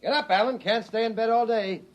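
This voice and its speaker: A man's voice: very high-pitched, a little hiss or hum. An actor playing a teasing, folksy middle-aged American father in a 1950s radio drama. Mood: hearty, prodding. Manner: brisk, folksy, joshing.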